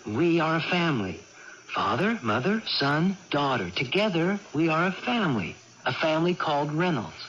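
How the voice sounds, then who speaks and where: An actor playing an earnest, patient young man, gently instructing his sister in a radio drama. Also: fairly high pitch, very animated, moderate pace. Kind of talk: 1980s radio drama acting. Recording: dull, hissy old radio broadcast recording.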